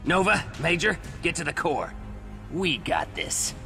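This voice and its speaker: Bright, cocky wisecracking raccoon mercenary. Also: male voice, on the high side, huge pitch swings, unhurried, vocal fry, soft background music, exaggerated voice. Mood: brash. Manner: brash, sarcastic, irreverent. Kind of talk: video game cutscene acting.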